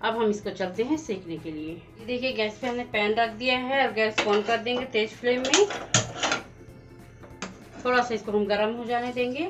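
A woman hosting a home cooking channel, calmly explaining each step of a recipe as she goes. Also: fairly high pitch, moderate pace. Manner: plain, instructive, walks through each step. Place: home kitchen at the stove. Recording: slightly dull lo-fi sound with faint music.

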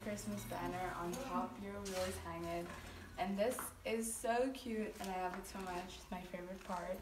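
A young woman chats brightly to her viewers as she decorates, her voice at an ordinary middle pitch. She is recorded on a phone held at arm's length in a living room. A steady hiss sits under the audio. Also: moderate pace. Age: young adult. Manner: chatty, upbeat, addresses viewers directly. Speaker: vlogger. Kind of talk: casual vlog narration. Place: living room at home, indoors. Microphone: phone camera held at arm's length.

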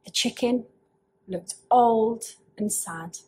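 A young woman tells a storybook tale in simple phrases. Her voice sits a little high and has some huskiness. She reads at a very unhurried pace. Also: mellow, husky. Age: young adult. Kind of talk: slow, simple storybook reading aloud. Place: small indoor room with bookshelves.

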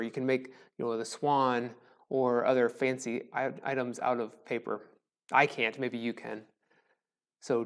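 A man's voice: medium pitch, a little mellow. A man in his forties, a pastor, giving a recorded sermon in a conversational, joking way from a small church sanctuary, speaking into a lapel mic. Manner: joking, conversational, with pauses and self-deprecating asides. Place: small church sanctuary with a wooden cross behind. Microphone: lapel mic, camera framed from the chest up.

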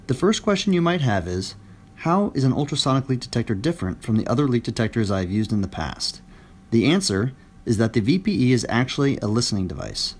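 A man reading a scripted product voice-over with a clear, even, explanatory delivery. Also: medium pitch. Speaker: product narrator.

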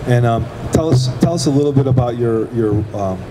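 A man's voice: medium pitch, brisk. Middle-aged male moderator, very noisy hall. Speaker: interviewer, panel moderator. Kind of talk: conversational interviewing. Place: large indoor exhibition hall. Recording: very noisy trade show floor.

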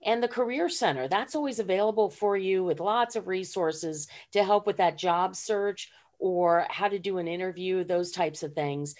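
A woman instructor speaks on an online panel over a video call. She talks hesitantly, often pausing on fillers, with a slightly low voice. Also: darkish tone. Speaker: ESL instructor.

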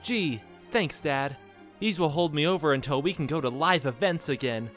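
A man's voice: a little high, normal speed, fairly bright tone, faint music behind, lightly acted. A man playing an eager, gee-whiz young son in a scripted radio ad. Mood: excited. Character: excitable young boy, curious son in a cheesy ad.

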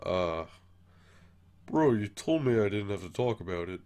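Weary, laid-back skeleton for a cartoon comic dub, played by a man; lowish pitch, unhurried casual drawl. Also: lively intonation, a little mellow. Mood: reluctant. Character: laid-back, weary male skeleton, secretly troubled brother. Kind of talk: animated comic dub acting.

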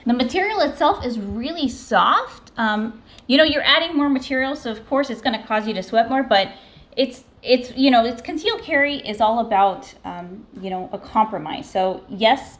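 An American woman in her thirties giving a casual, conversational product review to camera as host. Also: on the high side. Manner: conversational, informal. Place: backyard patio and home kitchen.

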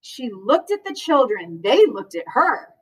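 A woman's voice: fairly high pitch, very animated, a little slow, husky. A middle-aged woman reads a children's picture book aloud expressively, with sing-song emphasis and chatty questions to young listeners. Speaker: teacher or librarian reading story time to pupils. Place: school library, indoors. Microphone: far camera across the room. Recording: distant microphone in a room.

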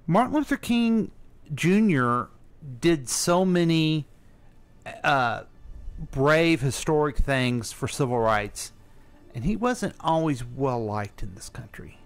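Lively-pitched man co-hosting casual radio. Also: slow, clear, resonant tone. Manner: informal, conversational. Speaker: radio co-host asking questions.

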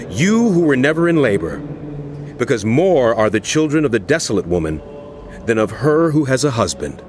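An actor playing an earnest male apostle who pleads with and admonishes his flock, impassioned and deliberate, in a dramatized scripture reading. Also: lowish voice. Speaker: actor in a dramatized audio Bible. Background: music.

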